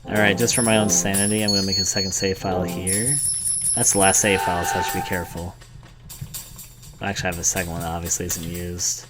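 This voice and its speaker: A man's voice: low-pitched, level tone, fast, over loud music. A man giving casual let's play commentary over a video game, reading and reacting as he plays. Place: indoors, recording over gameplay.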